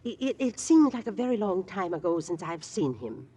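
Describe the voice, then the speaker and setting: A woman's voice: fairly low pitch, very animated, moderate pace, warm tone, breathy edge. A woman playing a proud, refined elderly widow in 1940s radio drama, hesitant and dithering as she speaks. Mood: fluttery, apologetic. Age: older.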